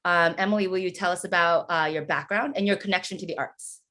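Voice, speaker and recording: Casual woman host with a lowish voice. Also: quick pace, pushed and bright. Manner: informal, affirming, encouraging. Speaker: interview host. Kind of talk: casual interview conversation.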